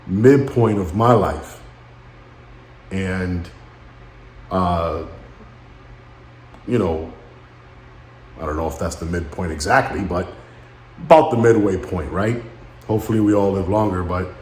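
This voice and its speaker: A man in his late thirties speaking in a halting, somber and introspective way, as in a personal vlog monologue. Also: sits low, roomy sound, slight background noise. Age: late 30s. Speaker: vlogger.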